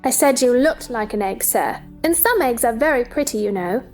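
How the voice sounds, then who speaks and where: A woman's voice: fairly high pitch, expressive, normal speed, soft background music, close to an everyday voice. A woman playing a polite, curious, bewildered seven-year-old girl in a theatrical audio drama, earnest and wondering. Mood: polite, puzzled.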